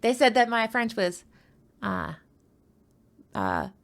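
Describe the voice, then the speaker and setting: A woman's voice: fairly high pitch, huge pitch swings, slow pace, gravelly. A woman from the United States giving casual, playful live commentary on a card tournament, chatting and rambling into asides.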